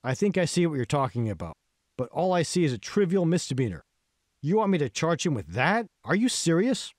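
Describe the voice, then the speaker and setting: A man voicing a compliant, corruptible prosecutor in an audiobook, measured and conversational. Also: on the high side, sing-song, dry recording, clean studio sound, voice pushed up. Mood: incredulous. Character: compliant male prosecutor, sceptical, then obligingly corrupt.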